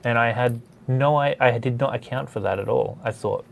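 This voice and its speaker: A man hosts a relaxed, informal chat. His voice has noticeable creak and sits at a middling pitch. He wanders through his thoughts hesitantly, with faint noise behind him. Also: moderate pace. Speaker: host of a comics drawing studio session. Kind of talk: casual chat.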